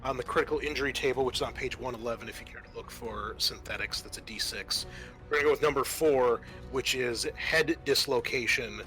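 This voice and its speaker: A man works as game master for a tabletop horror game, his voice bright and forward. He talks informally, reasoning through rules aloud between bits of narration. Faint music sits behind him. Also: mid-range voice, a little fast.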